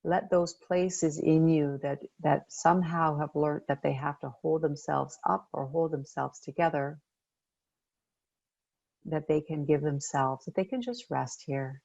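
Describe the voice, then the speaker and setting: A woman leading a guided meditation as a coach, speaking gently and unhurriedly with pauses between phrases. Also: deep voice, creaky, lo-fi sound.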